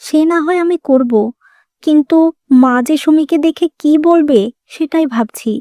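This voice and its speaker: Cartoon role: worried but supportive sister-in-law played by a woman, high voice, soft tone, dry recording. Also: a little slow, no echo. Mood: worried, resigned. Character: concerned, helpful elder sister-in-law. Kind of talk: dramatic cartoon dialogue.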